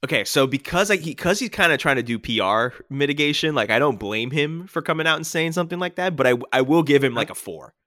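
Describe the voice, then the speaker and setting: Male co-host, bright punchy voice, playful banter, dry sound. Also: fairly high pitch, animated, no room sound. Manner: emphatic, playful, quick banter. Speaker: podcast co-host. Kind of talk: casual sports podcast chat.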